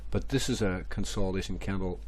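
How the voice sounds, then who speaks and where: A man, hoarse and stuffy from a cold, rambling through crypto chart analysis with many repeats and fillers. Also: sits low, fairly quick, a little mellow, slight background noise. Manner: rambling, repeats phrases, many ums and restarts. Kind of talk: casual screen-share market commentary.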